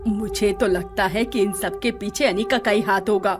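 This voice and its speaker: A woman playing a suspicious, scornful, money-minded wife in an audio drama, with a sharp, contemptuous manner. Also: mid-range voice, fairly even intonation, normal speed, music in the background, lightly acted. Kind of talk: Hindi audio drama acting.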